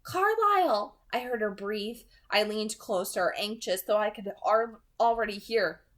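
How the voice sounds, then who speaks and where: A woman reads a novel aloud in scripted narration. Her voice sits at a middle pitch. It is the delivery of an amateur audiobook narrator. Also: steady tone, measured pace.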